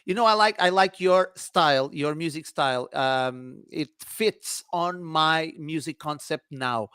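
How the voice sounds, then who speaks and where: A man from Portugal with a Portuguese accent, speaking English as a second language, hosting an interview in halting, repetitive speech. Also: fairly high pitch, fairly bright tone, smooth, no echo, clean studio sound. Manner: halting non-native English, repeats phrases. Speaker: radio-style interview host, DJ. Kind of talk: casual interview chat.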